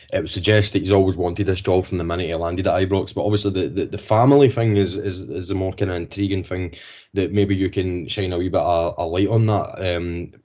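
A man with a very low, mellow voice hosts a casual podcast chat. He asks long, winding questions, stumbling over restarts and fillers. The sound is a bit muffled and lo-fi. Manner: rambling, hesitant questions full of restarts. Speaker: podcast host.

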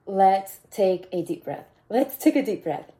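A woman with a raspy voice hosting a motivational podcast, talking casually and mentoring her listeners, often repeating phrases. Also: mid-range voice, animated, a little slow. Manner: warm mentoring tone, repeats phrases, bursts of laughter. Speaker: podcast host.

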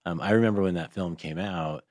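A man with a very low voice hosts a casual podcast interview. He speaks informally and in a rambling way, and the studio sound is very dry. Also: brisk, a little mellow, no room sound.

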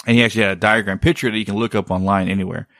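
A man talks in a low voice, loose and meandering. He often stalls to search for the right word. The sound is dry, with no room echo. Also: fairly even intonation, racing, a bit bright. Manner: rambling, often searching for words. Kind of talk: casual chat.

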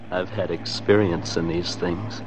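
A man playing a threatening gunman in an old radio thriller, talking tough and curt. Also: deep voice. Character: menacing gunman, an escaped killer hijacking a car. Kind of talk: 1940s radio thriller acting. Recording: muffled, noisy old radio recording.

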